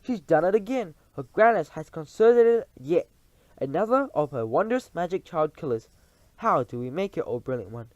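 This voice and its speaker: A man reading aloud and voicing a crowd of eager female witches who gush and flatter in shrill tones. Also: a little fast, dry recording, lo-fi sound. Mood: fawning. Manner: shrill, gushing, sycophantic.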